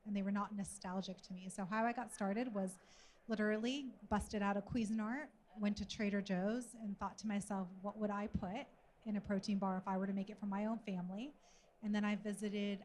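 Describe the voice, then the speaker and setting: A woman's voice: medium pitch, a little mellow, slight background noise. A woman from Sudan speaking as a panel guest, telling a personal story in a conversational way. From born in Sudan. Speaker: panel guest, food company founder.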